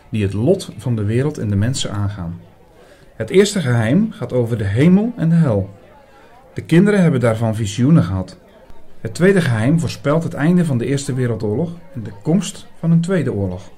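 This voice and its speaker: A man playing an earnest priest who narrates a mystery audio drama in a measured, bookish, formal way. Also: mid-range voice, mellow, husky. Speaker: narrator and lead actor.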